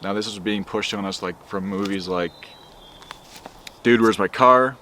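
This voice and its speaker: A young man narrating a commentary video in a measured, lecturing way. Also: on the low side, bright, forceful tone, slight background noise.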